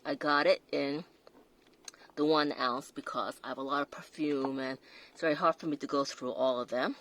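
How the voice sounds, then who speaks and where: Slow, low-voiced woman with an accent. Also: slight background noise. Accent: non-native English accent. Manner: hesitant, many fillers and restarts. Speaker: perfume reviewer. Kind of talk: casual product review vlog.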